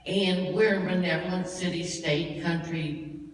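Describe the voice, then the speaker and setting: A soft-spoken man in the audience asks a long, winding question in a slightly raised voice. He apologizes as he goes. The recording is distant and roomy, with a long echo and faint noise. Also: high voice, steady tone, a little slow. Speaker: audience member asking a question. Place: church hall. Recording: echoey hall from far off.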